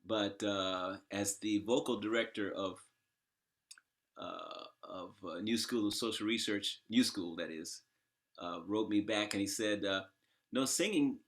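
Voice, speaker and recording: A man hosting a discussion, talking off the cuff in a halting, emphatic and opinionated way. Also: lowish voice, steady tone, fairly bright tone. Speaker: host interviewer. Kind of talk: conversational, off-the-cuff commentary.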